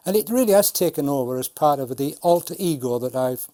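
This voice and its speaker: High-voiced mellow man in his seventies. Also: animated, brisk. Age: 70s. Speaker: retired Punch and Judy puppeteer. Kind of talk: explanatory talk about puppetry.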